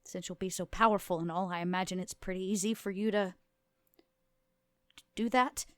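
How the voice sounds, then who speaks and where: A woman's voice: medium pitch, fairly even intonation, normal speed, clean tone, no room sound, studio quality, lightly acted. A woman playing a nervous but defiant monster hunter with a wry, challenging manner in a tabletop game. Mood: wary defiance.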